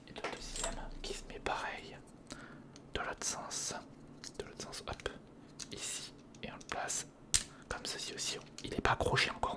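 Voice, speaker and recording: A person whispering informal ASMR commentary in short step-by-step remarks while building, speaking directly to the viewer. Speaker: ASMR video creator and host.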